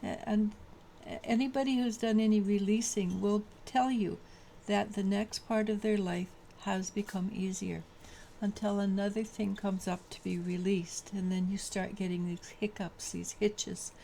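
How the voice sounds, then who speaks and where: Woman tarot reader, low mellow voice, flat delivery, halting. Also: creaky, slight background noise. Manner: halting, many restarts and fillers. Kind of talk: daily tarot reading talk.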